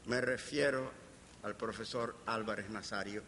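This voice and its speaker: An older man with a Caribbean Spanish accent gives an anecdotal lecture as a professor, deliberate, pausing and playfully humorous. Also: fairly low pitch, steady tone, fairly bright tone. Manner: deliberate, with pauses and playful humour. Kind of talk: anecdotal academic lecture to an audience. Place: lecture hall. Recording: reverberant hall, background hiss.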